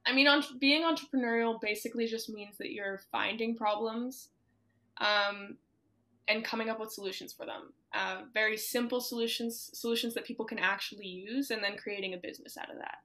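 A woman's voice: on the high side, steady tone, brisk, bright, forceful tone. A teenage girl answering interview questions over a video call from home, upbeat and giggly with lots of ums. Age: teens. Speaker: student entrepreneur guest. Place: home room with closet doors. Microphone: laptop webcam on video call.

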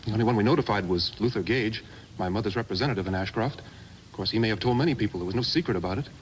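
Man playing an earnest low-voiced young engineer. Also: racing, close to an everyday voice. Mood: shaken, puzzled. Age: young adult. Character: earnest, polite young mining engineer, sincere and idealistic. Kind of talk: 1950s radio drama acting. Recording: slightly noisy 1950s radio recording.

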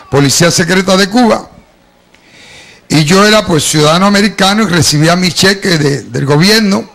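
A middle-aged Puerto Rican man with a touch of Cuban in his speech preaches his testimony to a congregation in a church hall, holding a handheld mic. His voice sits high and carries a gravelly rasp. He tells his story in a lively way, calling the listeners "beloved" as he goes. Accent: Puerto Rican Spanish with some Cuban. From Puerto Rico. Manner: storytelling, addresses the congregation as "amado". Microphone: handheld microphone.

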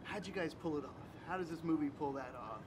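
A man interviewing actors off camera, asking upbeat questions from a distance. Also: quick pace. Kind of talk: press junket questions. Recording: far from mic with outdoor rumble.

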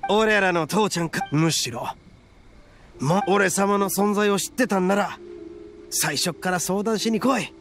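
Brash, gravelly young oni gang boss. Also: male voice, high-pitched, unhurried, fairly bright tone, soft background music. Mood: proud, earnest. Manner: cocky, rough-spoken, theatrical. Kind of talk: Japanese anime game voice acting.

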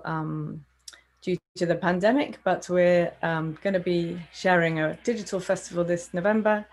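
A woman in her fifties from the UK hosts an interview over a video call, with a slightly low voice. She speaks warmly and pauses often with ums. She talks through wired earphones from a room at home. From United Kingdom. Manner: warm welcoming, with frequent ums. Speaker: festival director and host.

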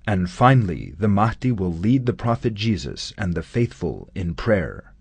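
A man narrating a scripted documentary in a measured, solemn studio voice-over. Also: low-pitched, big pitch swings, vocal fry, a little mellow, slightly rough, no echo.

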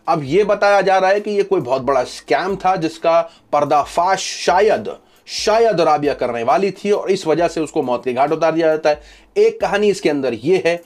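A man in a fairly high voice. He delivers news commentary straight to camera, explaining each point with emphasis. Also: animated, normal speed. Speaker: host of a news commentary channel.

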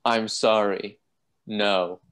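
A man playing a composed, grave asylum doctor in a radio play, speaking with measured, clipped authority. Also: on the low side, fairly even intonation, fairly bright tone, clear, resonant tone. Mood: firm, calm.

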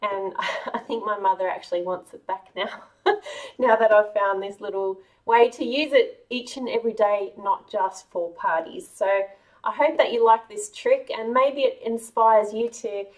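A woman's voice: medium pitch, normal speed, far from the mic. A middle-aged woman with an Australian accent, hosting a cheerful, chatty home cooking vlog. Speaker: cooking channel host. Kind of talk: casual kitchen vlog. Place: large home kitchen. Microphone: camera a few meters away.

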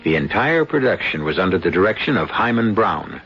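A man's voice: sits low, very animated, a little fast, creaky, over loud music. A man hosting a 1970s radio mystery show with wry, theatrical scripted narration. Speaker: radio drama host. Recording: dull lo-fi 1970s radio broadcast.

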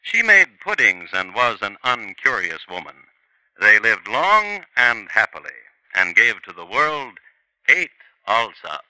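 A man's voice: fairly low pitch, very animated, unhurried, bright, forceful tone, no room sound, poor audio. A man narrating a 1950s radio crime drama in a dry, wry storytelling manner.

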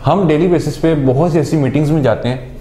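Fast-talking young man. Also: a little high, dark tone. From Pakistan. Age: 20s. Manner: earnest and advisory, gestures a lot while talking. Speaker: guest, motivational YouTuber. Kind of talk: motivational interview answers. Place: small studio room, indoors. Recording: echoey small room.

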